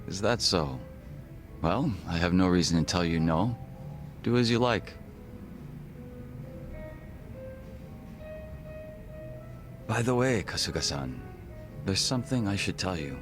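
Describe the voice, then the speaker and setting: An actor playing a composed, formal gangster second-in-command in a video game cutscene, measured and reserved. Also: male voice, low-pitched, animated, music in the background.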